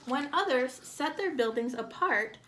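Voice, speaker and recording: Lively high-voiced woman reading to children. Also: measured pace, background noise. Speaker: children's storybook reader. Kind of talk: read-aloud of a picture book for kids.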